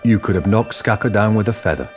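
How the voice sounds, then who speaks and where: Low-voiced male radio drama narrator. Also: fast, soft background music.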